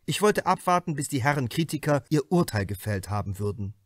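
Guy playing an earnest young writer explaining himself in court, audiobook, medium pitch, dry recording. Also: big pitch swings, fairly quick, no room sound. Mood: earnest, confessing. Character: earnest, self-assured young male writer on trial. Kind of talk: acted audiobook reading.

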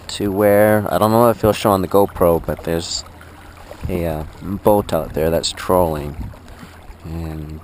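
Low-voiced guy rambling to his gopro out on a lake, water sloshing behind, chill. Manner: relaxed, rambling, easygoing. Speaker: angler filming his trip. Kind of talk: casual talk to camera. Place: outdoors on a lake in an inflatable boat. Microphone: action camera held at arm's length. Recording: action camera audio with water noise outdoors. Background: water and boat noise.

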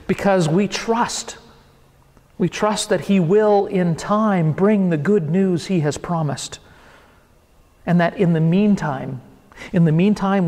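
A middle-aged man preaching a sermon from a pulpit in a church, earnest and measured, building his points through repetition. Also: on the high side, a little mellow, in a large hall. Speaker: pastor. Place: church sanctuary, speaking from a pulpit.

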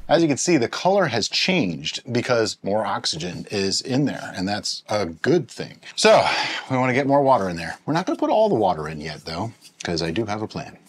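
A man's voice: on the low side, moderate pace, breathy edge. A middle-aged man hosting a home brewing show, casually explaining each step as he works at a table. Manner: animated, explains steps while handling gear. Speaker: homebrewing show host. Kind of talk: casual instructional chat. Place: home studio with shelves of bottles.

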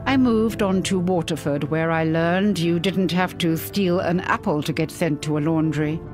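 A woman's voice: lowish voice, normal speed, over music. Woman reporter narrating a documentary.

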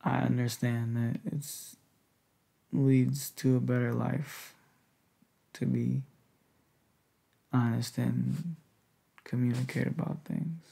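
A young man speaks softly and hesitantly in an intimate, breathy ASMR boyfriend roleplay, tender and low-key. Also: flat delivery, slow pace, pauses often. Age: young adult. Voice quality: soft, breathy, gravelly. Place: indoors, lying on a bed in a bedroom.

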